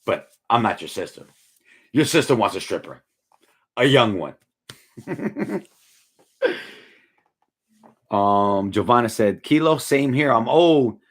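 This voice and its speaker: A tired man in his forties talks loosely through a live stream, his husky voice swinging up and down as he reads out comments. He sounds laid-back and slangy, yawning through it all. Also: mid-range voice, slow, pauses often. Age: 40s. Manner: laid-back slangy, drifts from mumbling to excited. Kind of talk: casual live chat reading comments.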